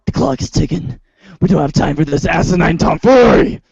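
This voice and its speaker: A man voicing a gruff, self-serious superhero with a gravelly, husky rasp in a pompous, melodramatic parody style. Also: fairly high pitch, animated, a little fast, a little mellow, dry recording, lo-fi sound, heavily acted. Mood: exasperated. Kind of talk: read-along storybook parody acting.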